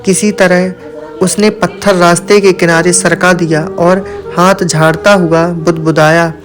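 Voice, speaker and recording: Guy reading a moral story, pretty high voice, flat melody, music on it. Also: unhurried. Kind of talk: scripted moral story narration.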